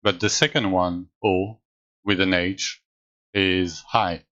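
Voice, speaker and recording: Low, slow French-accented male streamer. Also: fairly even intonation, fairly bright tone, lo-fi sound. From France. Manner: halting, with frequent restarts. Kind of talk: casual chat with live chat.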